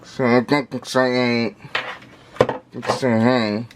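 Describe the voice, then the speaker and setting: Male vlogger, very slow and halting, indistinct diction, repeats words, mid-pitched, noisy recording. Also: flat delivery. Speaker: vlogger unboxing audio gear. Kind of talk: casual unscripted unboxing talk.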